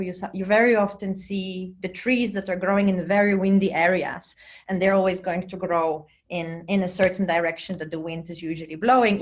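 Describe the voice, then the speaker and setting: A woman from Poland, whose first language is Polish, presents her research to students over a video call. She talks conversationally, dropping fillers and restarting phrases as she goes. She is in her thirties and speaks into a computer microphone. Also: on the low side. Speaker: plant scientist lecturing students. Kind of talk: recorded virtual lecture. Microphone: computer mic on a video call.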